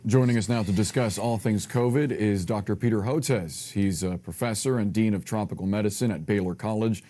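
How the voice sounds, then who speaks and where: A man's voice: fairly low pitch, warm tone. An American man presenting broadcast news as an anchor, reading scripted links and interviewing guests.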